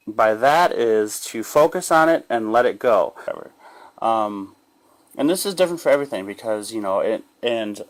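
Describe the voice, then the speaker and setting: A man giving a casual, unscripted spiritual self-help monologue in a rambling, halting way, often repeating phrases and trailing off. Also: on the low side. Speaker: spiritual life coach sharing guidance.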